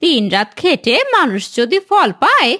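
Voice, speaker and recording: Lady doing super high voiced village wife, scolding and preachy, cartoon style, voice swings up and down. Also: sing-song, measured pace, sharp tone, exaggerated voice. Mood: admonishing. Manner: moralising, reproachful. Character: principled, scolding village wife warning her husband. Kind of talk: Bengali moral-tale cartoon dialogue.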